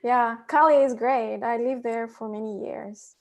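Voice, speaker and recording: Woman, halting. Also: a little high, steady tone, darkish tone. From Peru. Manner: hesitant, many pauses and "uh", laughs often. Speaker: podcast host, guest. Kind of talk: casual interview chat.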